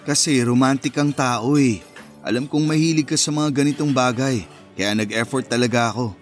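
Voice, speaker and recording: An actor playing an earnest, romantic young boyfriend in a Filipino radio romance drama, talking in a sincere, conversational way. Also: male voice, medium pitch, normal speed, faint music behind, close to an everyday voice. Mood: casual, sincere.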